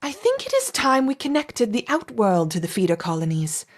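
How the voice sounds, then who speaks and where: A woman's voice: fairly high pitch, wildly swooping pitch, moderate pace, over loud music. A woman playing a coolly curious scientist in charge, speaking scripted game dialogue in a commanding, clinical manner. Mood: clinical curiosity.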